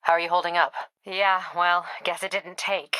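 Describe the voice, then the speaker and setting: Female police captain for a game: slightly low, sharp-edged voice, no-nonsense and weary, curt dispatch-style delivery with dry humor. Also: level tone, moderate pace, clear, resonant tone, dry recording. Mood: brisk, dry. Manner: clipped, businesslike, dryly wry. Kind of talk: video game voice acting, radio dispatch briefings.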